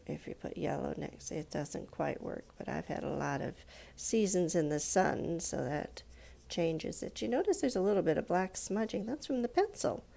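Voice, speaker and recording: A woman's voice: lowish voice, creaky, mellow. A woman giving children an informal step-by-step drawing lesson, explaining things simply and patiently with questions to her young listeners. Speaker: children's art teacher.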